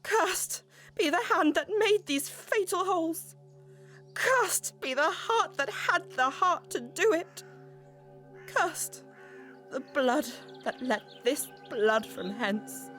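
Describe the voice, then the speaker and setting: A woman's voice: very high voice, lively intonation, takes it slowly, fairly bright tone, soft background music, full character voice. A woman playing a grieving, defiant noble widow in a Shakespearean audio drama, with declamatory, emotional delivery. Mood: anguished cursing.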